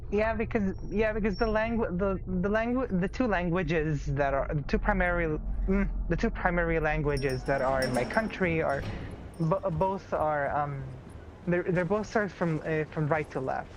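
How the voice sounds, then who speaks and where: A woman's voice: fairly low pitch, slight background noise, low-quality recording. A woman from Israel, a native Arabic speaker, chatting casually on a game stream, often stopping and restarting her words. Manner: halting, restarts words, giggly. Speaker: streamer's partner and co-host.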